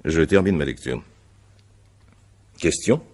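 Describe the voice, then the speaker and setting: A man playing an examining magistrate in a radio mystery, courteous but insistent, with formal, deliberate phrasing. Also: very deep voice, lively intonation, darkish tone, exaggerated voice. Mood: methodical, probing. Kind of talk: French radio mystery drama acting.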